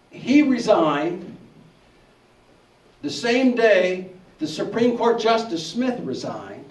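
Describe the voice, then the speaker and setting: A man with a somewhat high voice makes his case, working a story into it. He is picked up from far off in an echoey hall. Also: a little mellow. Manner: persuasive, storytelling. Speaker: bar association president on a panel. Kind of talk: public forum talk. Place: church hall forum. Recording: echoey hall, distant mic.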